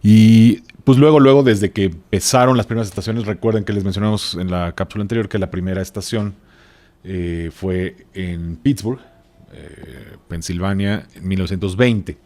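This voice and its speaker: A man's voice: fairly low pitch, moderate pace, mellow, no echo. A man co-presenting a podcast, explaining things in a casual, joking, informal way.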